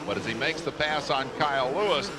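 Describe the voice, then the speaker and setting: A man's voice: medium pitch, a little fast. A man calling motocross play-by-play as lead commentator, in punchy, dramatic phrasing. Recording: engine and outdoor noise behind voice. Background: motocross race noise.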